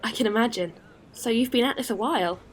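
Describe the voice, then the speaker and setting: A woman's voice: a little high, fast, close to an everyday voice. A woman playing a friendly, practical alien courier in a science-fiction audio drama, easygoing and matter-of-fact. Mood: polite, businesslike.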